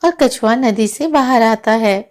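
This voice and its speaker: A woman's voice: fairly high pitch, unhurried. A woman narrating a moral story for children as a storyteller.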